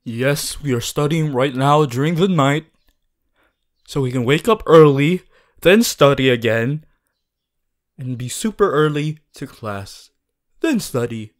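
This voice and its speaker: A man playing an earnest, bossy, worried young student hero in an audio roleplay, talking to the listener in an insistent, halting, emphatic way. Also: medium pitch, lively intonation, lightly acted. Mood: earnest, playful. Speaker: voice actor.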